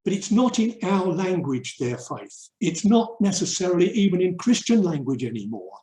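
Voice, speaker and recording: An older man from England giving a reflective webinar talk, earnest and emphatic, with deliberate pauses. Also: high-pitched, sing-song, dark tone. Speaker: urban mission speaker and theologian. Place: indoor room, online webinar. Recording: roomy, echoey webinar audio.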